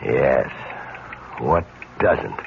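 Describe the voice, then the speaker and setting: Tired restless american husband, very low voice, kinda curt, muffled vintage radio drama. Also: male voice, expressive, very slow, vocal fry, heavily acted. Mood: weary, restless. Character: weary, restless husband burdened by money worries. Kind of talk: 1970s radio drama acting. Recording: muffled vintage radio recording, faint noise.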